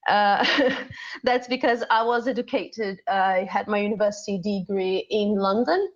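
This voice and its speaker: A woman's voice: medium pitch, measured pace, muffled recording. Woman guest, Portuguese native, on a call. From Brazil. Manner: searching for words, many fillers. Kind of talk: casual explanation on live stream.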